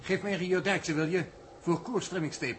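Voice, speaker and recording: An actor playing a matter-of-fact spaceship navigator in a 1960s science fiction radio drama. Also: male voice, sits high, steady tone, a little fast, slight background noise, natural voice.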